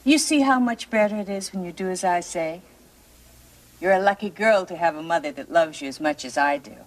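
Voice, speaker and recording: A woman's voice: fairly low pitch, fairly quick, lightly acted. A woman playing a centuries-old witch mother in a fairy tale drama, cooing and controlling in a theatrical way. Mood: coaxing.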